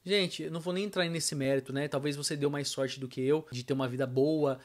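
A Brazilian man with a fairly high voice, middle-aged, vlogs from a room at home. He talks colloquially and with emphasis, straight to the people watching. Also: steady tone, a little fast. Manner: emphatic, colloquial, addresses viewers directly. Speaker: vlogger. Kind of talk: casual opinion vlog to camera. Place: small home room with arcade machine, indoors.

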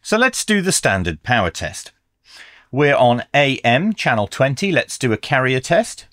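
A man's voice: mid-range voice, very animated, a little slow, fairly bright tone, no echo, clean studio sound. A middle-aged man giving a methodical, explanatory product review in an instructional style. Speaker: radio reviewer, presenter.

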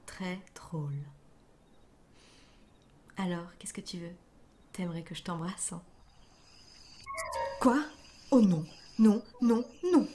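A woman voicing a hardworking, sensible young waitress, polite but firm, in an amateur animated film dub. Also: on the low side, slow pace, pauses often, background noise. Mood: disgusted, reluctant. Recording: amateur dub over film soundtrack music.

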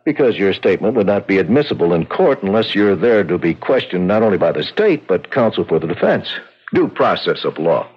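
A plain-talking police sergeant in a seventies radio drama. His husky voice swings up and down with folksy ease. The recording is a bit muffled. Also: sits low, very animated, brisk, heavily acted. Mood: matter-of-fact. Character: matter-of-fact, folksy police sergeant investigating a murder. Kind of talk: 1970s radio drama acting. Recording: dull vintage radio recording.

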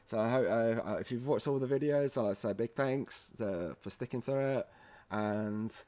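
A man presenting a software tutorial in a casual, halting, step-by-step way, often checking in with a short "okay". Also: medium pitch. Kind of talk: casual screen-recorded software walkthrough.

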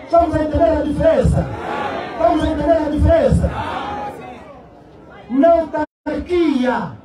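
A man's voice: extremely high pitch, normal speed. An Angolan man giving an impassioned political rally speech in Portuguese-accented declamation, throwing rhetorical questions out to his listeners. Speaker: political party secretary addressing a rally. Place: open-sided outdoor rally stand under a canopy. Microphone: cluster of press microphones and PA. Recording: echoing PA, distant, noisy crowd. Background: rally crowd.

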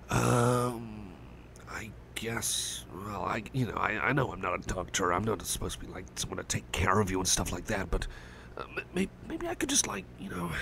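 Soft-spoken stammering man, gravelly and low. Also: a little hiss or hum. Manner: stammering, rambling, gentle and reassuring. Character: caring friendly male cyborg buddy. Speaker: ASMR roleplay performer. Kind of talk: soft-spoken ASMR roleplay.

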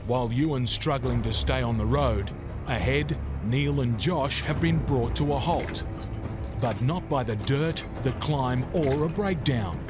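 A man's voice: mid-range voice, unhurried. A man narrating a documentary series in a dramatic scripted style, with short, suspenseful phrases. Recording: loud music under the voice.

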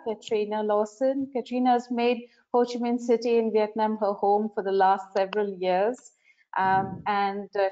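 A woman's voice: medium pitch, a little mellow. A woman moderating a webinar, politely thanking one speaker and introducing the next. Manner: polite, welcoming. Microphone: video call. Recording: slightly dull video call audio.

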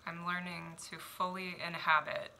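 A woman in her twenties from Oregon performing spoken word poetry at home, measured and reflective, with deliberate pauses. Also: on the low side, little pitch movement, creaky, sharp tone, a little hiss or hum, low-quality recording. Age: 20s. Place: small home room indoors. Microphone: headphones and webcam.

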